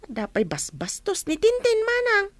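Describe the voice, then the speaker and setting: A woman's voice: very high-pitched, wildly swooping pitch, normal speed, no echo, exaggerated voice. A woman with an Ilocano Filipino accent acting a meddlesome, easily offended village mother in a radio drama, exclaiming and questioning. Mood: nosy, excited.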